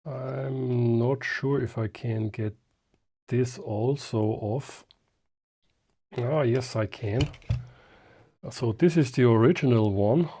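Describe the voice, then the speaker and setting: A man talks through a hands-on hobby project in a soft-edged, mellow voice. He takes his time, speaking slowly and hesitantly. The delivery is casual and unscripted, as if he is thinking aloud while he works. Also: medium pitch. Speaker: hobbyist host of a restoration video. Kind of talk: casual unscripted how-to commentary while working.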